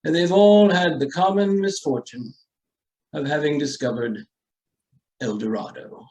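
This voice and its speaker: An actor playing an aloof, genteel and coolly ruthless estate owner in a radio drama, with formal, hesitant delivery. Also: male voice, on the high side, a little mellow, natural voice, poor audio. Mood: blandly menacing. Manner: pompous, formal, hesitant pauses. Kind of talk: old-time radio drama acting.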